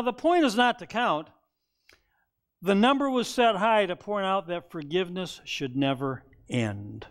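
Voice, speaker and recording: Older man preaching in a high voice. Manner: conversational preaching, acts out quoted voices with rising pitch. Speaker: pastor. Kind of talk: sermon. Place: church sanctuary, seated behind a wooden pulpit.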